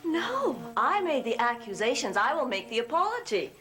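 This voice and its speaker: Gothic soap mother: middle-aged, genteel, dutiful worrier, animated intonation, hesitant politeness, music bed. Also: sits high, moderate pace. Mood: worried, reproving. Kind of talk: gothic soap opera acting.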